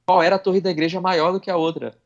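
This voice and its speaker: A man on a podcast panel explains history at length, stopping to correct himself along the way. His voice sits fairly high, and the audio is dull and lo-fi. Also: fairly even intonation, quick pace.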